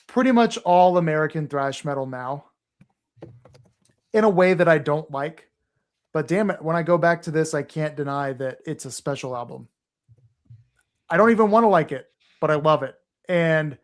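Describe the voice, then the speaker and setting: A man's voice: fairly high pitch, smooth. A man from Texas, a podcast guest talking casually and earnestly, rambling with fillers and restarts.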